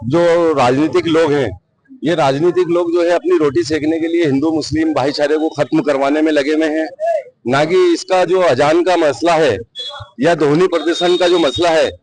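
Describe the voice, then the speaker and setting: A man's voice: high-pitched, rough recording. A man giving argumentative, insistent opinions in an interview. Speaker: member of the public interviewed. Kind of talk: opinionated street interview answers.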